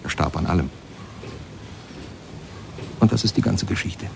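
Radio ballad narrator, low creaky voice, talks straight at the listener, bit of noise behind. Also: male voice, lively intonation, full character voice. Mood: sober, mournful. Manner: addresses listeners directly, deliberate, plain short sentences. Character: storytelling male narrator of a jazz musician's sad life.

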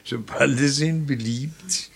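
An actor playing a gruff, earnest middle-aged painter and professor in classic German radio drama, declamatory one moment and muttering the next. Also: male voice, mid-range voice, unhurried, a bit bright, exaggerated voice. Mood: courteous, brisk. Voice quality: husky, gravelly. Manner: emphatic, declamatory, with muttered asides.

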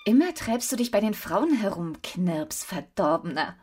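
An actress playing a young housemaid who scolds and teases in a radio play. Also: female voice, mid-range voice, very animated, unhurried, a little mellow, faint music behind. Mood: teasing scold. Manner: chiding.

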